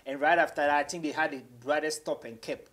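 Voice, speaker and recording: A man's voice: on the high side, fairly even intonation, fast talker, fairly bright tone. A man in his thirties with a Ghanaian accent, telling stories casually as an interview guest, switching between English and Twi. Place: small indoor studio.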